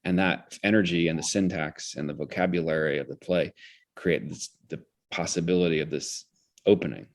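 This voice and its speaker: A man with a low-pitched voice talks as an interview guest. He is articulate and intellectual, thinking ideas through aloud and sometimes restarting a phrase as he searches for wording. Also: fairly even intonation, moderate pace. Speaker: interview guest, theater director. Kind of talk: reflective conversational explanation.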